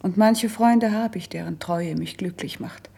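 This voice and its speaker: An actress playing a melancholy, resigned young princess who has been sickly since youth, in a classical verse radio drama, with a breathy, husky, hushed and measured delivery. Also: female voice, mid-range voice. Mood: wistful, confessional.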